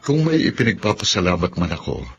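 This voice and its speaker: A very deep-voiced earnest husband. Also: male voice, wildly swooping pitch, heavily acted. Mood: grateful. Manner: earnest, apologetic, measured delivery. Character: remorseful husband and father, formerly mother-dependent, earnest. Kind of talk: radio drama acting. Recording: dull lo-fi audio under loud music. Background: loud dramatic music.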